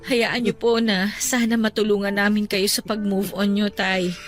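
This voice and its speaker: An actress playing a gentle, respectful young adult daughter consoling her father in an emotional radio drama, earnest and pleading. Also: medium pitch, little pitch movement, normal speed, natural voice. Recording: music under the voice. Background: music.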